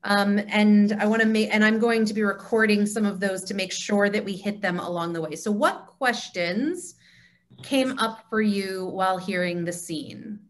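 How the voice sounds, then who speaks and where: A woman's voice: medium pitch, moderate pace. A woman facilitating a conversation on a video call, encouraging and feeling her way through her phrasing. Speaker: cantor, discussion leader.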